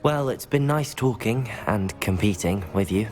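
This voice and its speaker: A man's voice: fairly low pitch, expressive, moderate pace, a little mellow, lightly acted. A man voicing a polite, eager young student wizard in a fantasy video game, courteous in manner. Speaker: actor voicing a game character. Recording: game music underneath.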